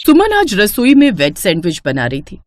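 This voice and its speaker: A woman's voice: medium pitch, huge pitch swings, normal speed, slight background noise. A woman storyteller reading a scripted family story narration.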